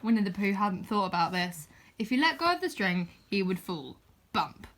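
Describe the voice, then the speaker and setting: A woman's voice: mid-range voice, sing-song, normal speed, fairly bright tone. A woman narrating a children's storybook as its reader.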